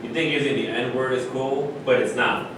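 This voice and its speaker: A proud retired athlete, played by a man, argues with self-assurance in a staged play reading. The sound comes from far off in a very echoey room, over clear rumbling noise. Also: fairly even intonation, normal speed, lightly acted. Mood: lecturing. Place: live staged reading in a room, distant microphone.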